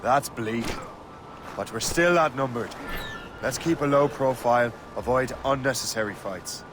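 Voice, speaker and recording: An actor playing a fearless young turncoat sea captain in a video game, wry and resolute. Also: male voice, mid-range voice, measured pace, noisy background, lightly acted. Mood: wry, wary. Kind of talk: cinematic video game acting.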